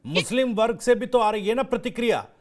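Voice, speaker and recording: A man's voice: high voice, expressive, fast. A male news anchor asking pointed, direct questions in a televised debate.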